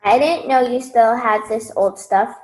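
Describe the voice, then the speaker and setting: A woman's voice: a little high, measured pace, lightly acted. A woman playing a nostalgic, good-natured parent in an amateur radio play. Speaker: student radio play performer. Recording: echoey room.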